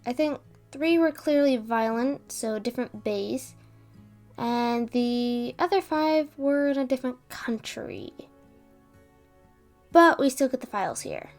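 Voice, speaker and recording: A woman plays a caring, overworked female doctor in an audio roleplay. Her voice is high and she takes her time over each phrase, deliberate and protective. Faint music plays behind her. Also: lively intonation. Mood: worried. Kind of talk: amateur audio roleplay drama.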